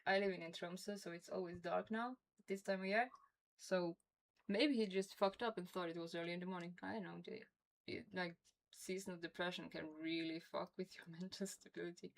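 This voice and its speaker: A woman's voice: lowish voice, steady tone, fairly quick, creaky, slight background noise. A woman from Norway with a Norwegian accent, chatting casually on a game stream with a toothache, rambling and laughing often. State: has a toothache.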